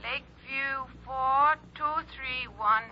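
A woman playing a flustered, curt night cleaning woman in a 1950s radio drama, speaking in halting, clipped phrases. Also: high voice. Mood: flustered, reluctant. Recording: dull old radio recording.

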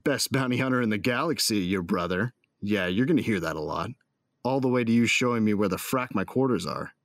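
An actor playing a cocky, hot-tempered bounty hunter who narrates a space opera audio drama in a wry, colloquial way. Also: male voice, on the low side, lively intonation, fairly quick, no room sound, clean studio sound. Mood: wry, proud. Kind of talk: first-person scripted audio drama narration.

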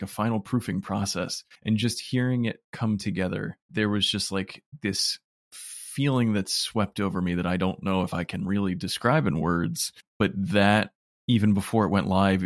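Casting: male producer in interview, deep register, conversational delivery, dry studio-like sound. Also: dry recording. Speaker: interview guest, podcast producer.

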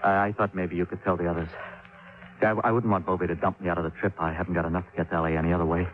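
An actor in a 1950s radio drama playing a nervous, shifty male fugitive who talks haltingly and evasively. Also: low-pitched, little pitch movement, lightly acted. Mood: nervous, confiding. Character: nervous, shifty male fugitive killer feigning humble harmlessness. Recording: tinny, phone-like 1950s radio recording.

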